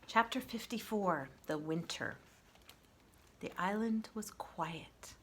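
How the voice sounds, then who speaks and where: A woman reading a children's novel aloud in an expressive, measured, gentle storytelling style, softening at phrase ends. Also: medium pitch, a little hiss or hum.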